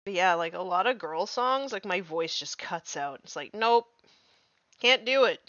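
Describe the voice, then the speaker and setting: A woman's voice: fairly low pitch, animated, unhurried, fairly bright tone, dry recording, dull audio. A young woman chatting casually and self-deprecatingly while painting miniatures on a live stream, full of "like", just getting over losing her voice. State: recovering from lost voice.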